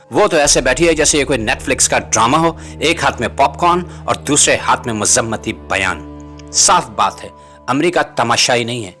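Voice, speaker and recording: A man's voice: medium pitch, pushed and bright. A man reading scripted political commentary as a host, in a rhetorical, bitingly sarcastic manner. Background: music.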